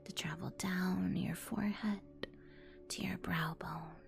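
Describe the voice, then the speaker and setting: A woman whispering a guided meditation in ASMR style, soothing and breathy, giving hushed instructions with long pauses. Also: on the low side, steady tone, soft background music.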